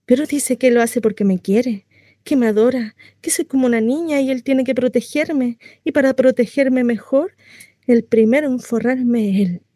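A woman performs a naive, wryly comic housewife confiding in a theatrical monologue. Her voice sits a little high and on the mellow side. She rambles dramatically and interrupts herself, recorded close and dry. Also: normal speed, dry recording, lightly acted. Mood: bitter, wry.

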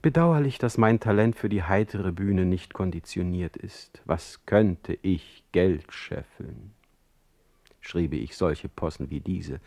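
Low-voiced sardonic poet. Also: male voice, vocal fry, heavily acted. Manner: mocking, eloquent, sharp-tongued. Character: witty, sardonic, ailing male exiled poet. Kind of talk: German radio play acting and letter narration.

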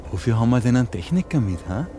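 An actor playing a domineering, sarcastic hijacker with an Austrian accent and colloquial dialect in a radio drama. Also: male voice, mid-range voice, big pitch swings, very fast, noisy background, lightly acted. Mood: bossy, sarcastic. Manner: bossy, sarcastic, lecturing. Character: domineering hijacker, a conspiracy-minded man holding two hostages.

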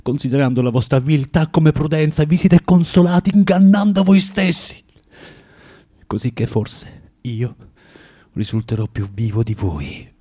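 An actor playing a bitter, proud, self-loathing recluse in a theatrical radio drama, with a volatile, declamatory and halting delivery. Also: male voice, medium pitch, expressive, dark tone. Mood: bitter, reflective.

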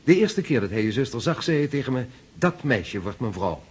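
An actor playing a courteous, reserved young army lieutenant in a radio play, polite and a little hesitant. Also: male voice, medium pitch, sing-song, brisk, a little mellow, husky. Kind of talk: radio drama acting.

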